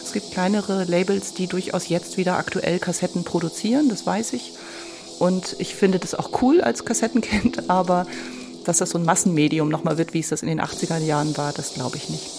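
Creaky-voiced woman in a reflective interview. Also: lowish voice, fairly even intonation, brisk, background noise.

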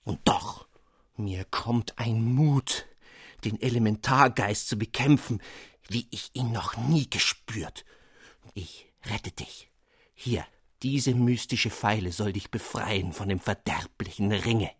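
A man's voice: slow, slightly rough, no room sound, heavily acted. A storybook father, elderly, pompous and easily frightened, acted by a male narrator. He speaks in grand, theatrical phrases with a lamenting edge. The sound is dry and close. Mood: pompous, resolute. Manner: theatrical, lamenting, high-flown speech. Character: pompous, fretful elderly father dabbling in mysticism, melodramatically despairing.

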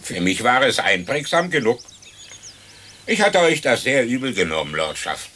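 An actor playing the plain-spoken ghost of a hanged horse thief in a German radio play, deliberate and pointed. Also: male voice, mid-range voice, sing-song, pushed and bright, slight background noise. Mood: reproachful.

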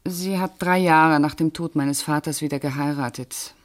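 An actress playing a self-controlled bank official in a radio play, answering precisely and guardedly in short, restrained phrases. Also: female voice, sits low, fairly even intonation, normal speed, lightly acted. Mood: controlled, terse. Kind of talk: German radio play acting.

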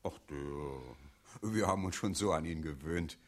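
A man's voice: a bass voice, a little slow. A man playing a placid, hospitable older townsman in a radio play, genial and matter-of-fact. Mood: placid, resigned.

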